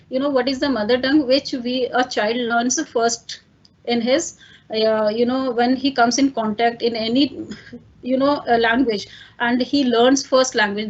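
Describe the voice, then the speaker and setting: Indian woman lecturer, native Hindi speaker, high and slightly husky, explains step by step and checks in with "fine". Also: highs cut off. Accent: Indian English. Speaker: teacher. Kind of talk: online lecture.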